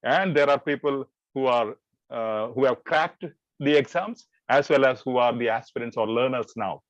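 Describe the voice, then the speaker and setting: A man's voice: medium pitch, moderate pace, no room sound, muffled recording. A man, a teacher speaking earnestly on a panel and laying out his advice point by point. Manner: earnest, advisory, uses numbered principles. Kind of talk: panel talk on a video call.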